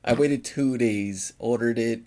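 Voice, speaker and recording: A man's voice: mid-range voice, a little slow, a little mellow. A man co-hosting a podcast, chatting casually, telling stories with quoted dialogue and laughing often. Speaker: podcast co-host. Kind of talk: casual chat.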